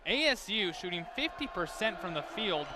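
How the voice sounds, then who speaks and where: A man's voice: sits high, big pitch swings, normal speed, noisy background. A young man giving live play-by-play commentary as a sports announcer at a game.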